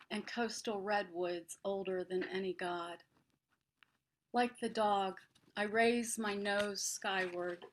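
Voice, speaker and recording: Slow, measured woman reciting poetry. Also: medium pitch, fairly bright tone, poor audio. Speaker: poet. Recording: faint background noise.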